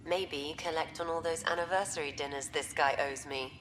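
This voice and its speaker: A middle-aged scientist and mother in a video game, her voice slightly low over faint noise. She is candid and wry, with a dry, teasing streak toward her husband. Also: steady tone, moderate pace, a bit bright. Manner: frank, dryly teasing. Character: frank, wry, ailing scientist wife and mother.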